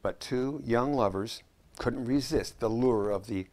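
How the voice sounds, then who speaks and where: A man in his sixties, a scriptwriter chatting as a guest in a relaxed interview, mixing jokes with earnest stories. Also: mid-range voice, unhurried. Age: 60s. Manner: joking, earnest storyteller. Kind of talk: casual interview chat. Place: living room set, indoors.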